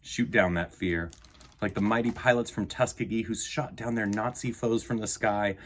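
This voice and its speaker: A man hosting a children's storytime, reading aloud and talking to young listeners in an earnest, emphatic, deliberate way. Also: lowish voice, fairly even intonation. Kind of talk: expressive storybook read-aloud and talk to children.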